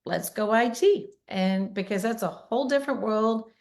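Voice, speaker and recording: Raspy middle-aged woman hosting a podcast. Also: on the high side, a little mellow. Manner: chatty, quick bursts, laughs often. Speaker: podcast host, realtor. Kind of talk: casual conversational podcast.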